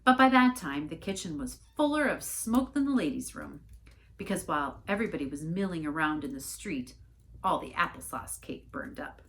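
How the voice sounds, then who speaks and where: A woman reading a storybook aloud in an expressive narrating style. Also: low voice, normal speed. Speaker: story reader, church staff member.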